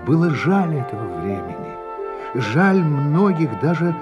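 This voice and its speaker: An actor narrating a radio play as a man looking back on his life, in a slow, reflective manner that falls to a hush at the ends of phrases. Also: fairly high pitch, very animated, lo-fi sound. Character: adult man recalling his youth, reflective and grave. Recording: studio narration over music. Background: music score.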